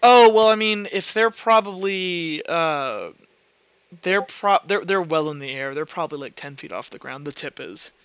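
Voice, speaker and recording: A man's voice: fairly high pitch, moderate pace, a bit bright, smooth, no echo, dull audio. A man acting as game master, casually narrating a tabletop roleplaying game.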